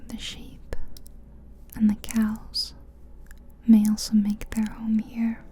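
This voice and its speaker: A woman whispering a slow, soothing guided visualization as an ASMR host, close to the mic. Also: fairly high pitch, monotone, dark tone, slightly rough. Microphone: close mic, ear to ear.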